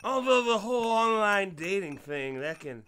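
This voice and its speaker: A man's voice: high voice, sing-song, a bit bright, a little hiss or hum. An actor playing a folksy father in an audio drama, who acts like a jovial barfly to confront a predator and can turn menacing. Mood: nosy, jovial. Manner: folksy, drawling, mock-friendly, then slow and threatening.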